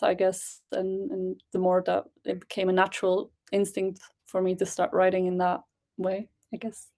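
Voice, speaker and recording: A young woman from Belgium, her first language Dutch, talks about her poetry in a relaxed interview. She pauses often with ums and laughs lightly, her voice sitting at an ordinary middle pitch. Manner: hesitant, with frequent ums and laughs. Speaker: spoken word poet guest. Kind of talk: casual interview chat.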